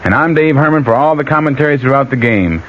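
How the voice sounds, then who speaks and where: A man with a mid-pitched voice reads a sports promo as a radio announcer. The recording is dull, with faint noise. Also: lively intonation, fast.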